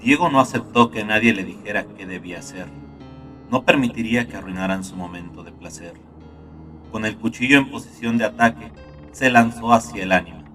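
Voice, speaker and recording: A man reading a scripted horror story as narrator, in a dark, gloomy, dramatic manner. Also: on the low side, fairly quick, distant mic. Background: soft guitar music.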